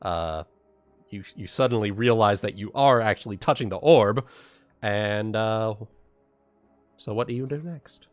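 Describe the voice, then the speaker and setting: A man narrating a tabletop game as game master, halting and repeating phrases as he improvises, with faint music behind him. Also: fairly low pitch, dry recording.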